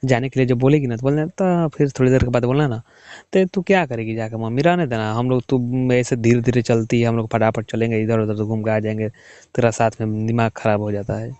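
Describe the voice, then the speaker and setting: Mellow young man on a muffled call. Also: steady tone, brisk, clear, resonant tone, dry recording. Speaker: caller. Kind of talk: casual private phone chat. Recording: muffled low-fidelity phone call recording.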